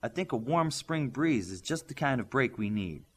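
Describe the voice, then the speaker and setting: A man's voice: medium pitch, lively intonation, moderate pace, a bit bright, slight background noise, lightly acted. A man voicing an eager, forthright young girl superhero in a storybook.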